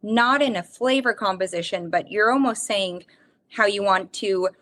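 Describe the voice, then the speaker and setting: A woman's voice: medium pitch, normal speed, a bit bright. A woman guest in an interview, talking expressively and searching for words. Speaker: guest, drinks company team member. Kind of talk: casual interview talk.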